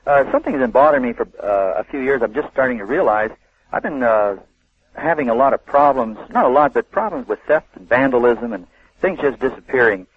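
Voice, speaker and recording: A man's voice: mid-range voice, lively intonation, fairly quick, breathy edge. A man calling in to a radio show, talking casually and easily, with a few hesitations. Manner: casual, easygoing, a little hesitant. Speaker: caller. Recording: tinny phone line over radio.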